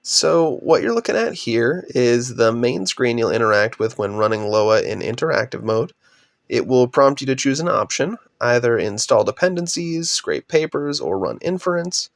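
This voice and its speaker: A young man with a voice raspy from a cold, steadily narrating a slide presentation on his undergraduate research project. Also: mid-range voice, moderate pace, no room sound, clean studio sound. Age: young adult. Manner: steady, explanatory, trails off at sentence ends.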